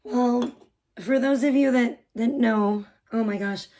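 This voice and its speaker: A woman live streamer, hoarse and losing her voice, chatting bubbly and rambling with her viewers while making tea in her kitchen. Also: on the high side, takes it slowly, warm tone. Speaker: live streamer greeting chat. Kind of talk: casual live stream chat.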